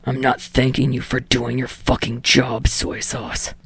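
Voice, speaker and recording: A woman voicing a gruff, foul-mouthed, angry young man in a low rough growl, seething through clenched teeth in a story reading. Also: completely flat delivery, unhurried, no room sound, heavily acted. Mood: gruff annoyance.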